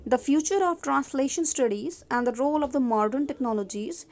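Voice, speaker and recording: A woman gives an academic lecture. Her voice sits a little high, and her delivery is halting, full of fillers as she rephrases her terms. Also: darkish tone. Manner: hesitant, frequent "uh" fillers, restates terms. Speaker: university lecturer.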